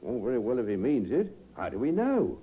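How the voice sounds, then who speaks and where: A man playing a gruff, suspicious middle-aged father, blustering and commanding, in a 1950s radio drama. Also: medium pitch, wildly swooping pitch, a little fast. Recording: thin, tinny old radio recording.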